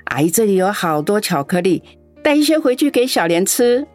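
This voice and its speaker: A woman's voice: mid-range voice, big pitch swings, a little fast, a little mellow, soft background music, close to an everyday voice. A woman acting a lonely elderly grandmother in a radio drama, doting on the young but scolding her grown daughter in an aggrieved way. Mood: fond. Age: older. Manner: fond and sighing, turns scolding and aggrieved.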